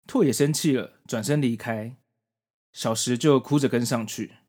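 A man's voice: mid-range voice, moderate pace, a little mellow. A man hosting a podcast, narrating and commenting on a story from prepared notes in an explanatory way. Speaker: podcast host.

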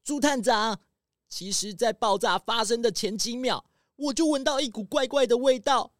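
A woman's voice: fairly high pitch, moderate pace, no echo, clean studio sound, lightly acted. A woman playing an earnest, apologetic male pangolin in a children's radio drama. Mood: regretful.

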